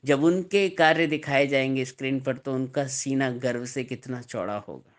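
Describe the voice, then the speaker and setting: Man, mid-pitched voice, preacher, deliberate emphatic delivery, scripture sermon. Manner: emphatic, deliberate, repeats and explains phrases.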